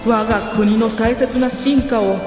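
A woman's voice: on the high side, fairly even intonation, measured pace, close to an everyday voice. A woman playing a resolute, dignified noblewoman in a fantasy audio drama, speaking in an archaic, commanding way. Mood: grave resolve. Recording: long hall reverb over music.

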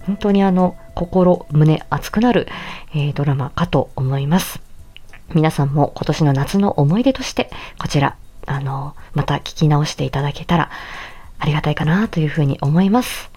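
A woman's voice: deep voice, normal speed, dark tone, husky. A woman hosting a casual solo podcast, chatting politely and effusively. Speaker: podcast host and amateur voice actor.

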